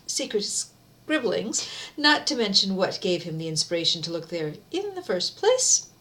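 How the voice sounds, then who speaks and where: Middle aged lady doing a casual read aloud for friends, mid-pitched voice, conversational. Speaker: video maker reading a book aloud. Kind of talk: casual chat and amateur read-aloud of a book.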